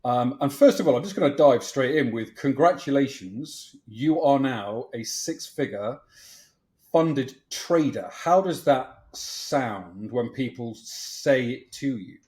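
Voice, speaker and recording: Male podcast host, casual interview style, jokey and self-deprecating, mid-pitched voice. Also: expressive, takes it slowly, darkish tone.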